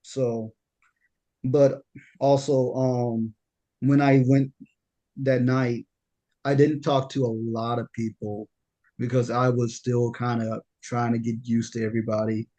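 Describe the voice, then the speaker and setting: Deep-voiced young man, stammering storyteller. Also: little pitch movement, slow pace, mellow. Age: young adult. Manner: stammering, repeats words while storytelling. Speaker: podcast host. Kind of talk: casual podcast chat.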